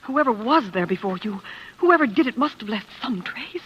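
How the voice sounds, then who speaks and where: An actress playing a devoted, desperate young wife in a 1940s radio drama, pleading with breathless urgency. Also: female voice, fairly high pitch, animated, moderate pace, a little hiss or hum, lightly acted. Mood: urgent, determined. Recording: dull, lo-fi old radio recording.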